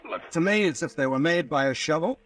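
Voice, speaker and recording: An actor playing a tough, sardonic police captain in an old-time radio horror drama, with a dry, commanding manner. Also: male voice, on the high side, fairly quick, a bit bright, lightly acted. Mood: pressing. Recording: vintage radio recording, distant mic.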